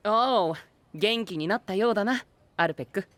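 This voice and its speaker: A man playing an earnest, gentlemanly young knight with formal wording and English exclamations in an anime-style audio drama. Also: high voice, moderate pace, dry recording. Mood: concerned, impressed.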